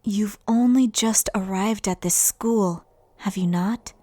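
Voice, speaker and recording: A woman voicing a composed, solemn dead schoolgirl in a game dub, speaking in a measured, formal way. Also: mid-range voice, mellow, dry recording. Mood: composed, aloof. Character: solemn dead high school paranormalist girl ghost. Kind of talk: anime game fan dub acting.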